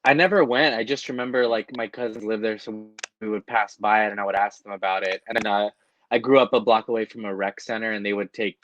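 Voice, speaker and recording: A man's voice: fairly low pitch, steady tone, fast talker, a bit bright, muffled recording. A man from Southern California, a comedian guest on a podcast, chatting over a video call in a dry, self-deprecating, rambling way.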